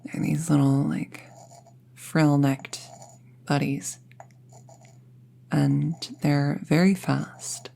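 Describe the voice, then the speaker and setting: A woman playing a friendly, chatty young apprentice witch in an intimate roleplay audio, musing casually in a soft, husky, breathy voice. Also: sits low, little pitch movement, very slow, long pauses, heavily acted. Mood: dreamy, amused. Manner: casual, musing, softly breathy, often near a whisper.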